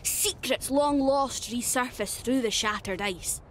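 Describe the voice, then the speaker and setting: A woman's voice: on the high side, a little slow, slight background noise, lightly acted. A woman with a Scottish accent voicing a cheeky, playful, irreverent young immortal fighter for a video game. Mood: dramatic, narrating. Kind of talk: video game character voice lines.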